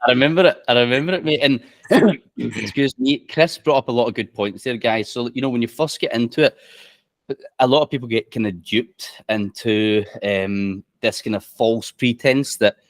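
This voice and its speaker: A man's voice: medium pitch, moderate pace, no room sound. A man hosting a casual interview, friendly and chatty, often calling his guest "mate". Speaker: host.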